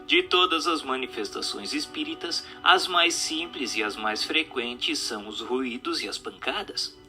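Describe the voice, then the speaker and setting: A Brazilian man reading a scripted audiobook narration in a deliberate, articulate way with emphatic phrasing. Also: medium pitch, pushed and bright, soft background music.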